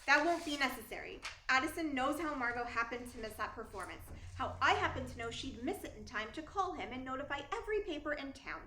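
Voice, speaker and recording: A woman's voice: high-pitched, animated, fast, hardly any pauses, bright, forceful tone. A woman playing a young, falsely humble, manipulative actress in a theatrical radio play style. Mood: cool, menacing. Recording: roomy echo, faint noise.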